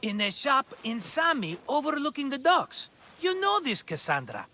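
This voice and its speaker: A man's voice: extremely high pitch, wildly swooping pitch, moderate pace, pushed and bright, heavily acted. An actor playing a jovial, scheming merchant in a video game cutscene, with a theatrical, wheedling salesman's manner. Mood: chiding, excusing. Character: jovial, scheming male merchant and hapless vineyard owner.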